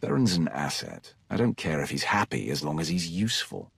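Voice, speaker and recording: A man playing a cold, ruthless Sith warrior in a video game cutscene, blunt and menacing, with a gravelly voice. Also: very animated, brisk, fairly bright tone, no room sound, full character voice. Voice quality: gravelly, very deep.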